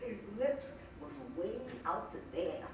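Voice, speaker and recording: A woman performing as a sassy, cranky old woman comedian, telling stand-up stories in a folksy, drawn-out way with dramatic pauses. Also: medium pitch, big pitch swings. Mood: sassy, scolding. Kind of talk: theatrical stand-up storytelling monologue. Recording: echoey, noisy stage recording from far off.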